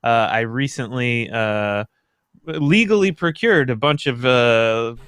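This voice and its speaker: A man, around his thirties, talking casually as a podcast panelist in a halting, rambling way. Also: mid-range voice, animated, a bit bright, clear, resonant tone, dry recording, clean studio sound. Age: millennial, around 30s. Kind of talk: casual group chat.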